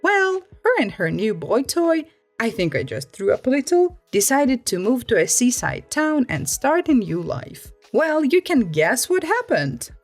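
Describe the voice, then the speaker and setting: A woman narrating stories in an expressive read-aloud storytelling style. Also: on the high side, measured pace, faint music behind.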